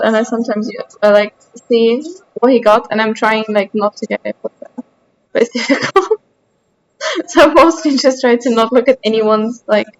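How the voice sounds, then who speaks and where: An Austrian woman with a high voice and a light Austrian German accent streams a game and chats casually with her viewers. She answers their messages in a chatty, self-deprecating way. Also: poor audio. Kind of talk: casual livestream chat while gaming.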